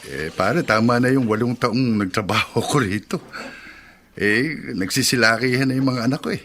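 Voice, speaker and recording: A man's voice: medium pitch, normal speed, fairly bright tone, natural voice. An actor playing a homesick middle-aged father working overseas in a radio drama, with a Filipino accent. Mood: wistful, easygoing.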